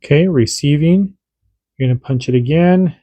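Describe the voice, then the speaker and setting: A man's voice: a little high, moderate pace, warm tone, no room sound. A man hosting a casual radio hobby tutorial, chatty and repetitive, doing radio checks as he demonstrates. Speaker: radio hobby channel host.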